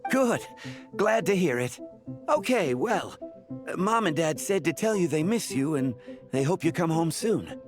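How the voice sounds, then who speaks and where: Animated, higher-voiced easygoing big brother. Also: male voice, a little slow. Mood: friendly. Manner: casual, chatty, awkwardly friendly. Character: easygoing, nagging much older brother, young man. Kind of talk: video game voice acting. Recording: background music under the dialogue.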